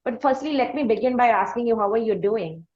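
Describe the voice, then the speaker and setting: A woman with an Indian accent hosting a conversational podcast interview, speaking effusively in long, halting phrases. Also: on the high side, lo-fi sound. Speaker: podcast host interviewing a musician.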